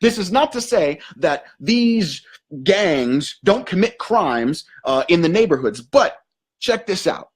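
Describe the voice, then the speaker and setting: A man's voice: high-pitched, big pitch swings, slow pace, husky. A young man from Northern Virginia hosting a show, delivering scripted comedic commentary with slang and rhymes. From Northern Virginia, USA. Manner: punchy, rhyming delivery with slang and emphatic shifts. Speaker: show host.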